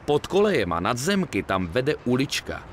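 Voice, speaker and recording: A man's voice: mid-range voice, big pitch swings, slight background noise, natural voice. A man voicing a weary, streetwise middle-aged gangster in a Czech video game dub. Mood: businesslike. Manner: colloquial, grumbling, swearing.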